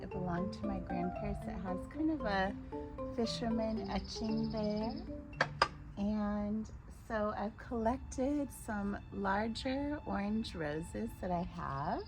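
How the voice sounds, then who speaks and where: Slow-talking slightly hoarse woman. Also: medium pitch, music in the background. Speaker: vlogger. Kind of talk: casual garden vlog, demonstrating. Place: outdoors in a backyard garden.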